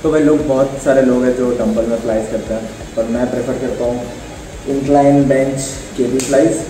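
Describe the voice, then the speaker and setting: A man's voice: mid-range voice, steady tone, a little fast. A young man explaining chest exercises in a casual gym tutorial, speaking straight to his viewers like friends. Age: young adult. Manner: casual, instructive, addresses viewers as brothers. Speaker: fitness vlogger. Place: small indoor gym. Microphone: camera on a stand a few steps away. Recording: echoey gym room with music.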